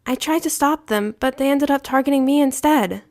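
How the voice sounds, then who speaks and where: Woman voicing a timid, high-pitched young boy. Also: normal speed. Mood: reluctant, pleading. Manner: hesitant, pleading, small-voiced. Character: young boy, timid, tearful, earnest son.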